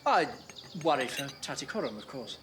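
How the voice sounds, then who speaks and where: An actor playing an earnest, melancholy gentleman of Victorian London in an audio drama. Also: mid-range voice, big pitch swings, moderate pace, a little hiss or hum. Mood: sad, hesitant. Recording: loud music and clinking behind.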